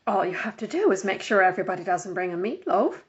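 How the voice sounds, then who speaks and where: A woman's voice: medium pitch, very animated, quick pace, close to an everyday voice. A woman voicing a practical, exasperated mother in a children's storybook, with a dry, put-upon manner. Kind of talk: children's storybook read-aloud. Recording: dull lo-fi home recording.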